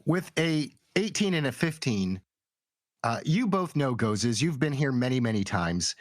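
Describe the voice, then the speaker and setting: A man with a medium-pitched voice narrates as game master. The recording is dry, and he speaks directly to his players. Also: big pitch swings, measured pace, no echo.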